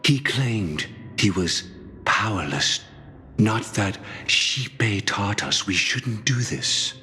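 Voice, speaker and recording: Grave courteous game schemer, low bright-edged voice, formal, world-weary, reverberant. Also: male voice, exaggerated voice. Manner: measured, formal, reflective. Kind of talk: scripted game voice acting, dramatic dialogue. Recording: long reverb under game music.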